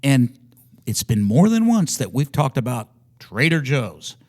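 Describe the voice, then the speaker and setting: A man's voice: mid-range voice, sing-song, measured pace, a little mellow. A man co-hosting a podcast in casual chat, with a folksy, bantering way of talking. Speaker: podcast co-host.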